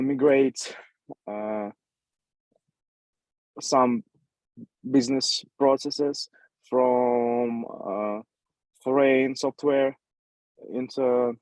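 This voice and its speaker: A man introducing himself on a video call, hesitant with many pauses. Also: mid-range voice, a little mellow, no room sound, low-quality recording. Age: 20s. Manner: hesitant, many fillers and restarts. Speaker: workshop participant. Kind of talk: self-introduction.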